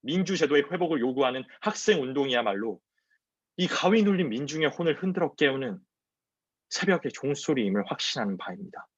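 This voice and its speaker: A man's voice: medium pitch, lively intonation, rapid-fire, slightly rough, dry recording. Male, twenties, solemn declamatory recitation, dry and slightly muffled video-call recording. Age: 20s. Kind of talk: dramatic recitation of a defiant courtroom statement. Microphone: wireless earbuds on a video call. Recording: dull, lo-fi video-call audio.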